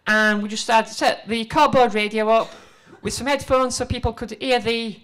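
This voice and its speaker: A middle-aged woman giving an informal conference presentation into a handheld microphone, conversational, halting and self-deprecating. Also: fairly high pitch, steady tone, a bit bright, clean tone, poor audio. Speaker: conference speaker, museum exhibit developer. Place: indoor presentation room before a seated audience.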